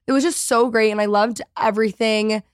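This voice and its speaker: A woman's voice: on the high side, fairly even intonation, fairly quick, no echo. Gushing young woman hosting a podcast, dry sound. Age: 20s. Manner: gushing, enthusiastic. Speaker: podcast host. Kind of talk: casual chatty podcast hosting. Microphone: close podcast microphone.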